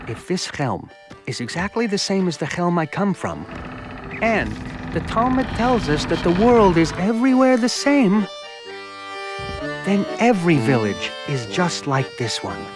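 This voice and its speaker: An actor playing a naive, philosophical village simpleton telling a cartoon folk tale, in folksy, musing asides. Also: male voice, fairly high pitch, full character voice. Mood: philosophical, puzzled. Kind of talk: comic folk-tale narration for animated short. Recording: music behind the voice. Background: music.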